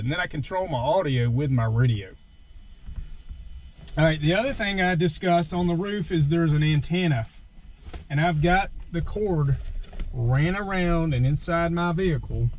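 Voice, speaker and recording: A man's voice: medium pitch, darkish tone, low-quality recording. Man giving a casual walkthrough. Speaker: vlogger demonstrating his gear. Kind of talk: casual unscripted product walkthrough. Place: inside a parked truck.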